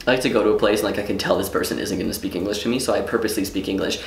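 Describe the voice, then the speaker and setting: Young french-speaking guy from quebec, kinda low voice, rambly vlog chat, roomy echo. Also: fairly even intonation, rapid-fire, creaky, slight background noise. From Quebec, Canada. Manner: rambling, self-interrupting, swearing. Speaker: vlogger. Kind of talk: casual vlog monologue. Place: room at home.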